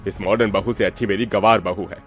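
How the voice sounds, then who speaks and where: A man's voice: fairly low pitch, fairly even intonation, brisk, soft background music, close to an everyday voice. A man voicing a traditional-minded older father-in-law in a Hindi cartoon drama, emphatic and moralizing. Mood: vindicated, reproving.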